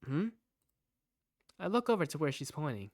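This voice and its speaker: A woman's voice: deep voice, big pitch swings, normal speed, darkish tone, dry recording, studio quality. A woman playing an easygoing young protagonist, narrating and speaking in a visual novel fandub in a casual, wry, playful way. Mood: laid-back.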